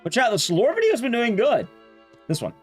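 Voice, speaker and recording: A man's voice: extremely high pitch, wildly swooping pitch, moderate pace, husky, faint music behind. A man livestreaming a game, chatting casually and addressing his viewers as he plays. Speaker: livestreamer and speedrunner. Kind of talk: casual livestream chat while playing a game.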